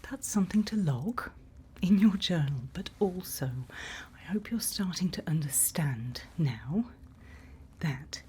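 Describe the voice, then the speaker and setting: A woman playing a calm, reassuring monster-hunting guide in a whispered ASMR roleplay, speaking in a hushed, patient, breathy way. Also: fairly low pitch, measured pace, slight background noise. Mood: reassuring, apologetic. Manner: hushed, patient, instructive, soothing.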